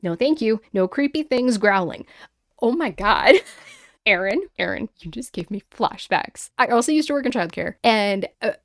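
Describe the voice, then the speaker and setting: A woman podcast host reading listeners' stories aloud in a casual, conversational way with animated personal asides. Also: fairly high pitch, moderate pace, no echo. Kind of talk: casual chatty storytelling with read-aloud emails and asides.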